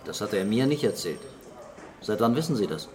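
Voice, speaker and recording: A man's voice: lowish voice, big pitch swings, a little fast, a little mellow. An actor playing a brooding, sceptical male police chief, terse and probing, in a crime radio drama.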